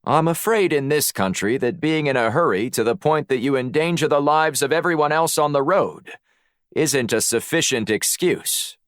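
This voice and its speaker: A male narrator plays a formal police officer questioning with stern authority. The delivery is measured and unamused, with expressive pitch movement and a close, dry sound. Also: dry recording. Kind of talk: audiobook character dialogue.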